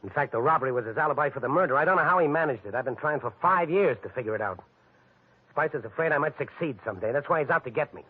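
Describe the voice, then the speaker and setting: An American actor playing an uneasy, businesslike private detective in 1940s radio style, clipped and insistent. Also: male voice, medium pitch, animated, very fast, breathy edge. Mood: worried, businesslike. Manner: clipped, insistent, tough-guy slang. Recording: tinny, narrow old radio broadcast.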